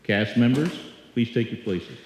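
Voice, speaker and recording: A man hosting a live old-time radio evening, welcoming the audience and telling stories in a droll, deliberate way. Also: medium pitch, expressive. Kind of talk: live stage welcome and storytelling. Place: live performance in a hall before an audience. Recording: echoey hall, picked up from the stage.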